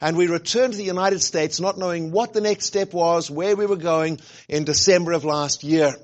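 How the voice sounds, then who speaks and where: A middle-aged man with a fairly high voice delivers a relaxed guest sermon. He talks in a chatty, joking way, full of little fillers and restarts. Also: moderate pace. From grew up where rugby is played. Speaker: guest speaker, college president. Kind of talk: informal sermon talk.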